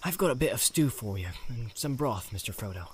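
A man's voice: medium pitch, expressive, fairly quick, lightly acted. A man voicing a plain-spoken, stubborn young hobbit servant in an audiobook. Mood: gentle. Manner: folksy, scolding, practical. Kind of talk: dramatised audiobook character voice. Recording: clear outdoor ambience noise behind.

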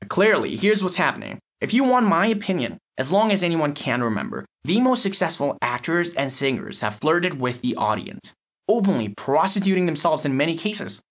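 A man acts out a cynical male lab technician for an audio short story. His voice sits a little high. He explains things bluntly and matter-of-factly, with a sardonic edge. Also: very animated, normal speed, a bit bright.

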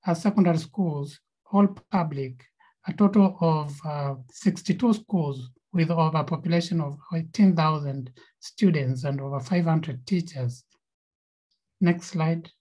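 A woman's voice: low-pitched, a little slow, warm tone, poor audio. A woman with a Kenyan accent, co-founder of a nonprofit, presenting slides on a video call with frequent fillers and repeated words.

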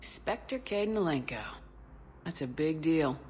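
A woman playing a composed, supportive military starship commander in a video game, measured, sincere and direct. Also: sits low, lively intonation, a little mellow, a little hiss or hum. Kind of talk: video game dialogue acting.

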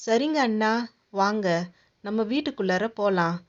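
A woman's voice: mid-range voice, animated, moderate pace, natural voice. Caring mother bird for a children's tale female performer: mid-range, kind, slightly muffled sound. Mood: friendly. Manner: kind, nurturing, polite. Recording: dull lo-fi audio.

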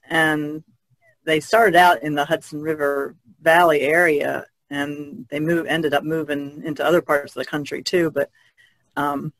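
A woman's voice: low-pitched, no room sound, low-quality recording. A woman from New Jersey chatting casually as a podcast panelist. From New Jersey, United States.

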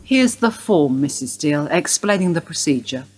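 A woman playing a brisk, polite, procedural young police detective in a radio play. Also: deep voice, lively intonation, very noisy. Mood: formal, polite. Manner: polite, official. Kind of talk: naturalistic radio drama acting.

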